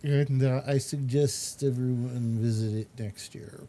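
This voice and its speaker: A man hosting a casual chat, speaking haltingly with frequent pauses. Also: mid-range voice, steady tone, dark tone. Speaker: host. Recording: faint background noise.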